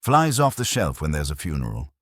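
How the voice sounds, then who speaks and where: Man reading as forthright female shopkeeper, wry, chatty, low-pitched, lively intonation, storybook mystery. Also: fairly quick, slightly rough, no room sound, clean studio sound, exaggerated voice. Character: observant, forthright woman hardware shopkeeper.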